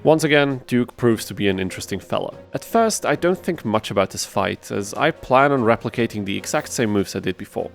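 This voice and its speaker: A man's voice: mid-range voice, animated, fairly quick, gravelly, hardly any pauses. A man hosting a video game challenge, reading an informal scripted narration with wry, dryly sarcastic asides. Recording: faint music behind the voice.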